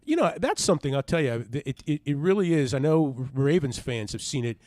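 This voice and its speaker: A man's voice: fairly high pitch, sing-song, fairly quick, darkish tone, no echo. A man co-hosting a casual sports radio show, talking conversationally into a close studio mic.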